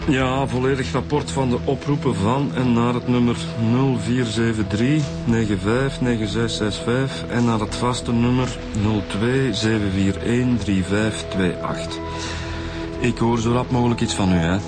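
A man playing a patient, methodical police inspector in a naturalistic radio drama, with a Flemish accent and measured, informal questioning. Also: mid-range voice, steady tone, close to an everyday voice. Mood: businesslike. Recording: music behind the voice.

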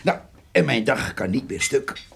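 An actor playing a sly, jovial country poacher with a husky voice and a folksy, drawling way of talking in a radio play. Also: male voice, medium pitch, big pitch swings, unhurried, background noise. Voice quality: gravelly, husky. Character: sly, jovial old male poacher, gossipy country rascal. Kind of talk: radio drama acting.

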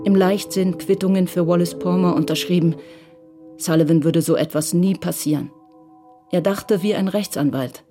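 A woman's voice: lowish voice, steady tone, normal speed. A woman reading scripted literary narration as the narrator of a radio drama. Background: music under the voice.

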